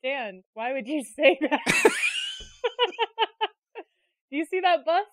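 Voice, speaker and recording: A woman speaking as a guest in a casual interview, expressive and earnest, on slightly dull audio. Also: sits high, slow, dry recording. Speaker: interview guest, creative director.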